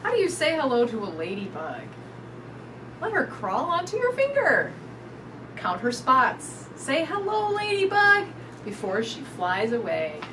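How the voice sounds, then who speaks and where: A middle-aged woman reading a picture book aloud to young children in an animated, sing-song way. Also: high voice, a little slow. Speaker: storytime reader. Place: indoors in front of a curtain backdrop. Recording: distant mic with clear background noise.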